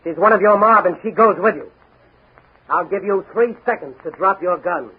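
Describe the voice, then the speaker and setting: An actor playing a bold, swaggering young crime-fighting hero in theatrical 1940s radio style, on a scratchy, tinny old recording. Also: male voice, sits high, moderate pace, husky. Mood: taunting. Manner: theatrical, brash, declamatory.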